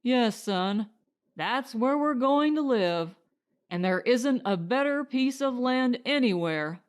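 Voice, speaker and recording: A woman's voice: on the high side, expressive, slow pace, smooth. A woman voicing an eager young husband, a hopeful settler in a storybook, speaking emphatically. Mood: assured.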